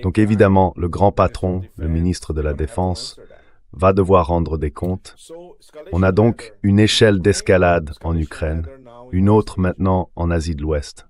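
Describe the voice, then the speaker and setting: A man's voice: sits low, darkish tone. A man's AI dubbing voice delivering translated political commentary as an interview guest. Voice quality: synthetic AI dubbing voice.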